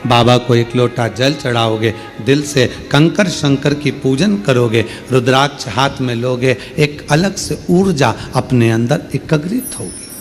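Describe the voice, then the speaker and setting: Male preacher, mid pitch, emphatic devotional delivery, faint music underneath. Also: unhurried, few pauses. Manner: emphatic preaching with rising exclamations. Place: open-air religious gathering.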